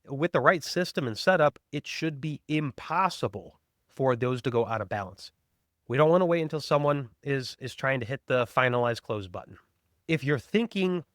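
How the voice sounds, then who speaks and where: A man's voice: mid-range voice, fairly bright tone, no room sound. Man presenting a step-by-step tutorial, dry sound. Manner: structured, step-by-step explaining. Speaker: presenter of accounting software demo. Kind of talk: instructional webinar presentation.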